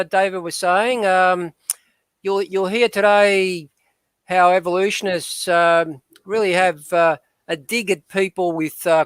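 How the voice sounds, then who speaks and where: A man's voice: high voice, a bit bright, clear, resonant tone, dry recording. A man hosting a discussion show, speaking hesitantly with many fillers. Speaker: host. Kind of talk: casual discussion.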